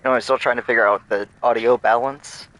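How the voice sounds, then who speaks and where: A man streaming a game, musing on philosophy in a rambling, thoughtful way with many pauses and fillers. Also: steady tone, fairly bright tone, dry recording, rough recording. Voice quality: low-voiced. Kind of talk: casual musing while livestreaming a game.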